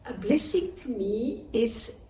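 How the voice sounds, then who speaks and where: A middle-aged or older woman from Spain with a Spanish accent, answering interview questions and telling personal stories in an expressive, emphatic way. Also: a little high, takes it slowly, rough recording. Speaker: interviewee, spiritual teacher.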